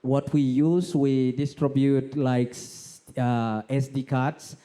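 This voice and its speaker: A male conference speaker with an East African accent explains his work in a prepared presentation. His tone is extremely mellow and dark, with little edge to it. He speaks in a halting way, pausing often as he lays out each point. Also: mid-range voice, steady tone, clear, resonant tone. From South Sudan. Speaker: conference speaker, NGO co-founder.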